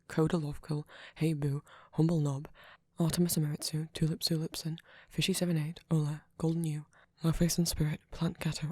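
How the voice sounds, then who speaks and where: A soft-spoken man, an ASMR creator, reading a channel outro and supporter names in a list-like way. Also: fairly high pitch, husky, dry recording.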